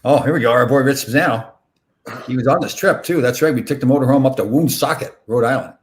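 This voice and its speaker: A man's voice: mid-range voice, quick pace. A man hosting a casual chat and asking teasing, jokey questions over a video call. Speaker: host.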